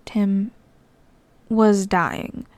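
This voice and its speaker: A woman voicing an earnest young half-ghost man who explains himself slowly and gently in a storybook reading. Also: medium pitch, steady tone, no echo. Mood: grave, regretful.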